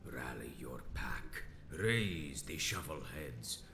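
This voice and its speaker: A man's voice: extremely low pitch, lively intonation, slow, full character voice. A male actor playing a grandiose, menacing old vampire leader in a video game, theatrical and declamatory, sometimes hissing into whispers. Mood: grave, rallying. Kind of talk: dramatic video game voice acting. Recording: echoing voice with music behind.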